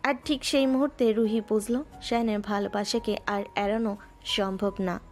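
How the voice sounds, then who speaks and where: A woman narrating a romantic story in a soft, even storytelling manner. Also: mid-range voice, normal speed. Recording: music underneath.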